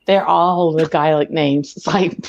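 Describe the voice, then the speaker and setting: A woman with a mixed Southern accent tells stories as a podcast guest, filling pauses with ums and breaking into laughs. Her voice sits low. The sound is dry and a bit muffled. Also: fairly even intonation, no echo. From Arkansas, US. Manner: chatty storyteller with frequent ums and laughs. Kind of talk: casual chat.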